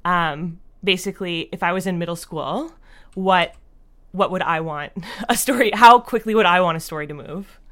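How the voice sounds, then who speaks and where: A woman's voice: fairly low pitch, moderate pace, fairly bright tone. A woman talking casually as an interview guest, explaining things reflectively and often dropping in "like" as she goes. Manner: reflective, explanatory, frequent "like" as a verbal habit. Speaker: interview guest, audio drama writer.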